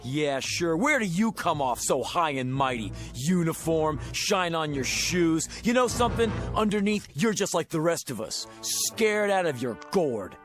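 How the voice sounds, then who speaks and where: A brash working-class hustler in a radio drama. His voice sits on the high side for a man and moves in lively swoops. He is combative, slangy and sour, with faint music behind him. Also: unhurried, hardly any pauses. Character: brash, bitter working-class hustler, a sore-losing gambler.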